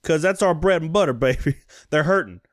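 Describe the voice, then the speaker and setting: A man's voice: on the high side, lively intonation, fairly quick, no room sound, clean studio sound. A man giving casual, blunt and sarcastic commentary as a streamer reacting to a recording.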